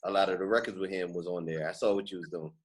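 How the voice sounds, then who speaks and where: A man's voice: sits low, fairly even intonation, quick pace. A man hosting a podcast in casual chat, affirming his guest and often repeating his own phrases. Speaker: podcast host.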